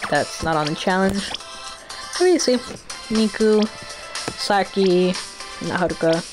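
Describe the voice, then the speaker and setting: A woman's voice: medium pitch, animated, unhurried, music in the background. A woman streamer giving casual, slangy gameplay commentary with plenty of swearing.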